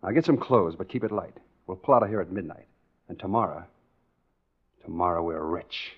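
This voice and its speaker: A man's voice: sits low, expressive, normal speed. An actor playing a cocky, scheming American tough guy in a hard-boiled, slangy 1940s radio thriller. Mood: cocky, sweet-talking. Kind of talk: 1940s radio thriller acting and first-person narration. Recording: dull 1940s radio recording with music.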